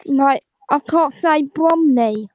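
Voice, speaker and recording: A young woman from the Caribbean calling in, talking in a halting, repetitive way. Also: high voice, a little hiss or hum. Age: 20s. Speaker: caller. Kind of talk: casual chat.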